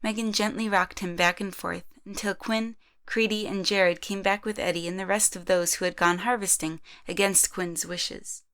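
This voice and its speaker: A woman's voice: mid-range voice, steady tone, moderate pace, few pauses, fairly bright tone, smooth. A woman reading scripted third-person narration for an amateur audio drama.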